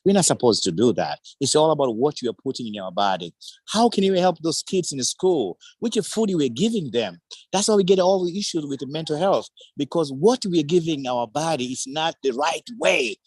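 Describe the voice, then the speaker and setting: A man's voice: on the high side, very animated, a little fast, slightly rough, dry recording. A man from Tanzania with a Tanzanian accent, speaking effusively at a community forum in broken English. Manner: effusive, warm praise, broken English phrasing. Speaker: guest speaker. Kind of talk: enthusiastic community forum remarks.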